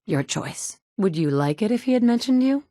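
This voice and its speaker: A woman's voice: medium pitch, sing-song, normal speed, warm tone, no room sound, close to an everyday voice. An actress voicing a blunt, sarcastic woman in a game, a protective mother with a dry, no-nonsense manner. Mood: wry. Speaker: player character. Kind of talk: video game dialogue.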